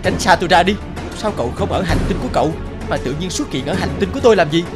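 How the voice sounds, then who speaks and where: A man voicing a resentful, lonely young spirit of night in an animated fairy tale, brusque and emphatic. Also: high-pitched, fast. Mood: angry, hostile. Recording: prominent music under the voice.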